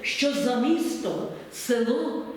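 A woman reciting poetry expressively to an audience, with a drawn-out, solemn and heartfelt delivery. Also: on the high side, lively intonation. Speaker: poetry reader addressing an audience. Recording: echoey hall, far mic, faint noise.

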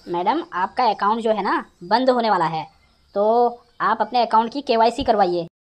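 A woman's voice: medium pitch, normal speed, a little hiss or hum, natural voice. A woman voicing a pushy male phone scammer who poses as an officious bank manager in a comic cartoon. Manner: persistent, wheedling, officious.

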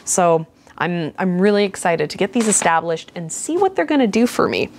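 A woman's voice: on the low side, sing-song, moderate pace, a little mellow, slight background noise. A woman in her thirties with a Canadian accent, chatting to camera in a casual, rambling and enthusiastic way as she unboxes stock in a garage. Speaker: flower farmer and vlogger. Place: indoors in a large cluttered garage workshop.

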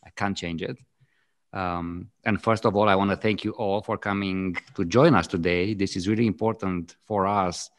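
Man, strong romanian accent, deep voice, hesitant and halting, studio mic at home. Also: fairly even intonation. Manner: hesitant, many ums and pauses. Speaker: co-host, photographer. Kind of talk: casual video call chat. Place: home studio with framed art on walls. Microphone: studio mic on boom arm.

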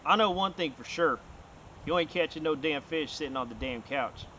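A man's voice: fairly high pitch, moderate pace, a little hiss or hum, poor audio. A man, talking casually and energetically to the camera outdoors by a bay. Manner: energetic, loose, swears casually. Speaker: vlog host.

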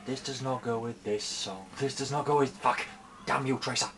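A man's voice: mid-range voice, steady tone, normal speed, a bit bright, slight background noise. A man giving casual, self-deprecating commentary over his own gameplay, swearing and muttering to himself. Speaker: gaming channel host.

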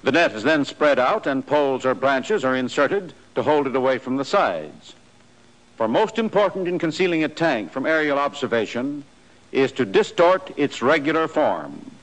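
A man giving scripted, measured instructional narration for a 1940s army training film. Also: mid-range voice, sing-song, rough recording.

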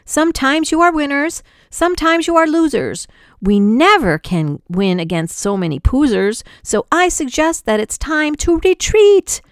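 A woman's voice: a little high, lively intonation, unhurried, no room sound, clean studio sound, voice pushed up. A woman voicing a blustering, pompous male army general who bellows his commands.

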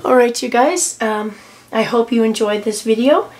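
A middle-aged woman with a hoarse voice from a cold, chatting casually as she walks through her journal pages, with lots of ums and restarts. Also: on the high side, moderate pace. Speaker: journaling vlogger. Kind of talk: casual tutorial walkthrough. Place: home desk, camera over a tablet.

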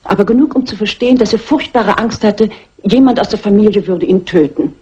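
Timid female companion: very husky and breathy, anxious, hesitant. Old crime-film dub, muffled. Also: medium pitch, brisk, a little mellow. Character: timid, frightened lady companion confiding what she overheard. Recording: dull, lo-fi old film soundtrack.

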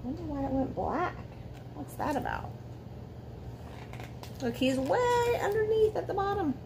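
A woman in her forties reads a children's bedtime story aloud with dramatic emphasis, pausing to put questions to young listeners. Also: high voice, sing-song. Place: living room at home beside a fireplace. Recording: clear background noise, room ambience.

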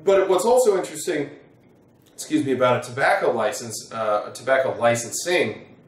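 A middle-aged American man, an attorney explaining to camera in a measured, wordy way in an office. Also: mid-range voice, expressive. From United States. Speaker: attorney, presenter. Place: small wood-panelled office, indoors. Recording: roomy, echoing sound from a distance.

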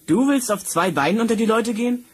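An actor playing a poor, good-hearted young miller's son in a fairy-tale radio play, with a husky voice. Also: male voice, sits high, lively intonation, fast, a little hiss or hum. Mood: kindly.

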